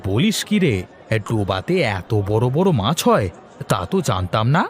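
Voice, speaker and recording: A man's voice: lowish voice, big pitch swings, normal speed, faint music behind, a faint crowd. A man playing an easygoing, chatty village street-food seller in a children's cartoon, with a folksy, conversational manner. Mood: curious, agreeable. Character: village fuchka-selling husband, easygoing, chatty, hopeful. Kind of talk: Bengali children's cartoon dubbing.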